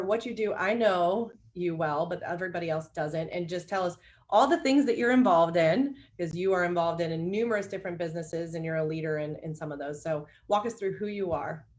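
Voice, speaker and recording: A woman hosting a podcast interview, introducing guests in an upbeat, encouraging way with quick asides. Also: lowish voice. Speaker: podcast host. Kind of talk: casual interview chat.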